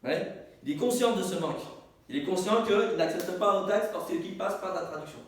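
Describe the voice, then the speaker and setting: A man's voice: sits high, moderate pace. A man whose first language is Arabic, teaching a small group informally; he repeats words as he searches and asks his own questions, then answers them. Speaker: teacher, institute director. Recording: echoey room, distant mic, faint noise.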